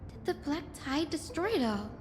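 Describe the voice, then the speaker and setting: A woman's voice: sits high, big pitch swings, moderate pace, noisy background. A woman voicing a curious young female adventurer in scripted video game story dialogue, speaking in an articulate, thoughtful, expository way. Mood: puzzled. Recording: long reverb with game music behind. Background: game music.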